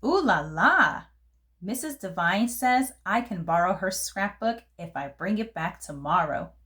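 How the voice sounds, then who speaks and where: A woman reading a picture book aloud to children in an expressive, careful, theatrical storytelling manner. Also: medium pitch, moderate pace. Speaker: children's read-aloud host.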